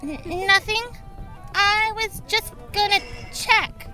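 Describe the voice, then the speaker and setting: A woman's voice: very high voice, takes it slowly, pushed and bright, soft background music, pitched above the performer's own voice, exaggerated voice, low-quality recording. A woman voicing an eager, curious young girl who pleads hesitantly in a fantasy roleplay audio drama. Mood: sheepish.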